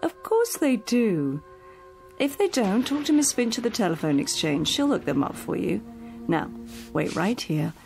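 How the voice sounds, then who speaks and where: A woman's voice: medium pitch, big pitch swings, measured pace, vocal fry, darkish tone, soft background music. An actress playing a caring, encouraging woman home helper in a radio drama, coaxing and reassuring.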